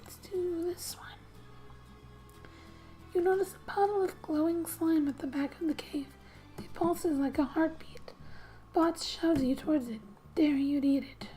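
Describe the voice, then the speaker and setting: A woman with a very high, soft voice and a mellow, warm tone. She reads game story text in a gentle ASMR style, breathy and often whispered, with casual little asides. Faint music plays behind her. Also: measured pace, pauses often, poor audio. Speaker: gaming ASMR creator. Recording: quiet background music.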